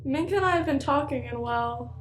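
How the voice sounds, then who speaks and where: A woman's voice: high voice, a little fast, lightly acted. A woman playing an impatient, know-it-all spaceship crew member in a radio play, sarcastic and insistent. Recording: echoey room, faint music.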